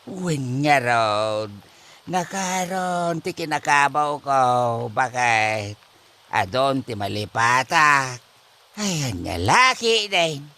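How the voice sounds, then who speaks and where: A man acting a bumbling, forgetful old husband, sharp-voiced. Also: medium pitch, sing-song, takes it slowly, full character voice. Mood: evasive, feeble. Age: older. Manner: bumbling, stammering evasions with many interjections. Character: forgetful, evasive old husband and father, comic schemer. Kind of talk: comedy radio drama acting.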